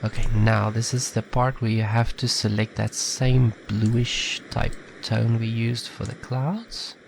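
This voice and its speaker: A man with a slightly nasal, stuffed-up voice from a head cold, giving a relaxed, chatty step-by-step software tutorial. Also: medium pitch, slow pace, vocal fry, background noise. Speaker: tutorial maker. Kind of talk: casual screen-recorded software tutorial.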